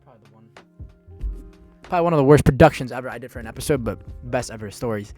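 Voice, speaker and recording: Casual swearing young podcast host. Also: male voice, mid-range voice, racing, warm tone, faint music behind. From Dominican and Peruvian background. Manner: loose, rambling, swears a lot, restarts often. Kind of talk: casual solo podcast talk.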